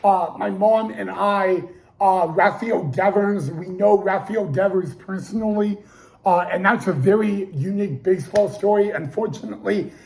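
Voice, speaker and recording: A man's voice: high voice, normal speed, vocal fry, darkish tone, low-quality recording. A young man hosting a casual sports talk show, losing his voice and restarting often with stammered repeats. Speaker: podcast host.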